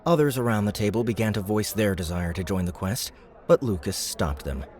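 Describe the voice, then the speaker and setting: Deep-voiced male audiobook narrator. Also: fairly quick, darkish tone. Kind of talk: scripted narration.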